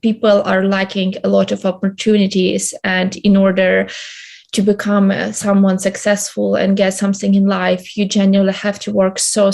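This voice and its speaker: A young woman from Ukraine, answering interview questions in a halting way with many hesitations. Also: mid-range voice, vocal fry, darkish tone. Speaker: guest.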